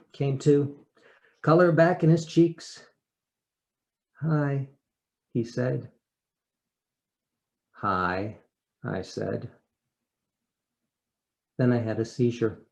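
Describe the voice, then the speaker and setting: A man performs a dramatic reading of a personal essay. His delivery is theatrical and expressive, shaped by deliberate pauses and swells of feeling. His voice sits at an average male pitch. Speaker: actor reading an essay aloud.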